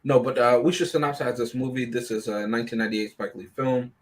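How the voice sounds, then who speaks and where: A man on a movie review panel, explaining and discussing a film casually in a measured, deliberate way. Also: mid-range voice, fairly even intonation.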